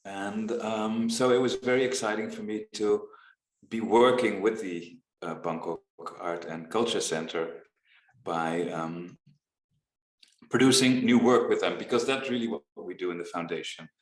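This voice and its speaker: A man on a video call who talks about his foundation's work in an unhurried, reflective way, with frequent pauses and ums. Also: lowish voice, roomy sound. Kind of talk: casual video-call conversation.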